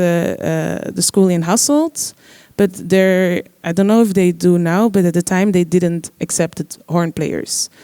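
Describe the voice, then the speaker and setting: A young man, a trombone player, answering on a discussion panel in a searching, halting way with many fillers. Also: sits high, mellow, smooth, dry recording, clean studio sound. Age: young adult. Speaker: musician guest, trombone player.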